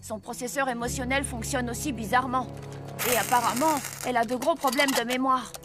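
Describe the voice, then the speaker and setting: A woman as high-voiced earnest cartoon boy. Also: steady tone, a little fast, lightly acted. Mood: concerned. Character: earnest clever young boy, kind but quarrelsome. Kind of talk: animated cartoon dub acting. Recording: loud cartoon music behind.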